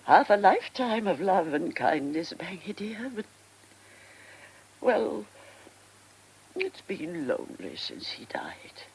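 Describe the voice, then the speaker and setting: Elderly upper-class English widow, gracious and whimsical, slow husky delivery with wide swoops, British radio drama. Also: female voice, on the low side, big pitch swings, a little mellow, heavily acted. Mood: wistful nostalgia. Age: 60s or older. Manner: theatrical, gracious. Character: elderly genteel widowed former stage star, affectionate and whimsical.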